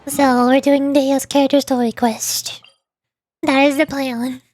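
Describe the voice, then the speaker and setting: A woman's voice: sits high, flat delivery, measured pace, darkish tone, slight background noise. A tired, sleepy woman chatting casually and rambling on a livestream as a VTuber. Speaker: VTuber streamer and amateur voice actor.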